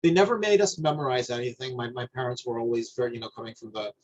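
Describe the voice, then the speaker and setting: A middle-aged man from New Jersey talking in a thoughtful, halting way, often repeating words as he searches for them. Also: mid-range voice, lo-fi sound, a little hiss or hum. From New Jersey, USA. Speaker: guest. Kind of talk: reflective conversation.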